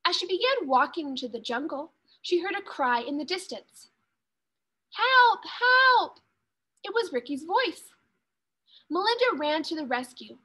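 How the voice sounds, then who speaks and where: A woman with a high voice narrates a children's story. She reads expressively, as a teacher does when reading aloud to young pupils. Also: expressive, normal speed, a bit bright.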